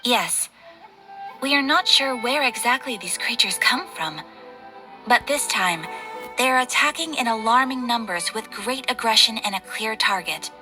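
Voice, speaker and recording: Polite, high-voiced guild receptionist. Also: female voice, expressive, music in the background. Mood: earnest, urgent. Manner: formal, measured, courteous. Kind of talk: video game character acting.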